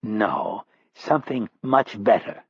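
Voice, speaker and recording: A man voicing a menacing militia leader who taunts his captive with slow threats, in an audiobook. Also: on the low side, expressive, husky, no room sound, highs cut off, exaggerated voice. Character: menacing Palestinian militia leader, cruel and mocking.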